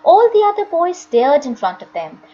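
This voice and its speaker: A woman's voice: sits high, big pitch swings, moderate pace, warm tone, highs cut off. A woman narrating a children's novel expressively, as an audiobook reader.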